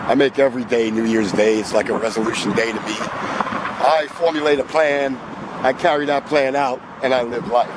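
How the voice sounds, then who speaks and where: A man in his fifties with a hoarse, cold-roughened voice, rambling casually to his camera outside by a road in the early morning. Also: mid-range voice, heavy background noise. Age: 50s. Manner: rambling, conversational, talks to the camera. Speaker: vlogger. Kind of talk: casual vlog monologue. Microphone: camera on a magnetic tripod.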